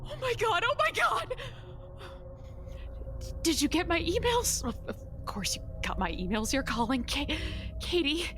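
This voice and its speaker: A woman playing a frightened, desperate young woman in a horror audio drama, halting and anxious in her delivery. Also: extremely high pitch, sing-song, a bit bright, soft background music, full character voice. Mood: tearful, anxious.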